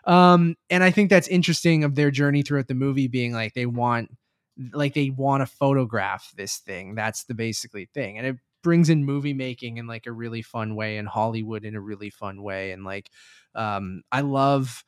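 A man's voice: mid-range voice, normal speed, smooth, dry recording, studio quality. Casual, sweary man chatting about films. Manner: rambling, sweary, enthusiastic. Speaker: podcast co-host reviewing films. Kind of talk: casual film review chat.

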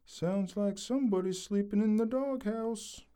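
A man's voice: sits high, measured pace, warm tone, clear, resonant tone. A man playing a long-suffering, easygoing fellow, dry and folksy, in an audio drama. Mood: weary, wry.

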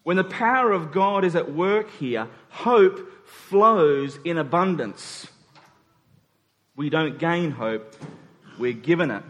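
Animated male preacher in a reverberant hall. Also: fairly high pitch, slow pace. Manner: informal, acts out dialogue in everyday words. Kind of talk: conversational sermon.

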